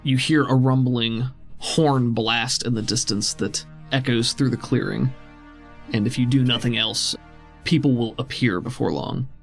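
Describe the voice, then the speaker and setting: A man runs a tabletop roleplaying game as its game master. He describes the scene conversationally in a mid-pitched voice, with music playing behind him. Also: steady tone, a little slow, gravelly. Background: background music.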